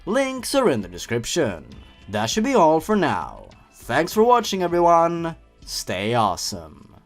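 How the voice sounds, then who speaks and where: A man's voice: on the high side, wildly swooping pitch, measured pace, soft background music. A man hosting a review show, giving scripted, opinionated commentary with sarcastic asides. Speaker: host of a horror story review channel. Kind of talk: scripted review commentary with story read aloud.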